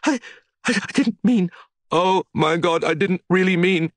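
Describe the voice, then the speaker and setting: A man reading aloud, voicing a jilted young woman who is drunk and turns hysterical, hissing and then shrill. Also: expressive, fast, slightly rough, no echo, clean studio sound. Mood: panicked. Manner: hissing, rising to shrill panic.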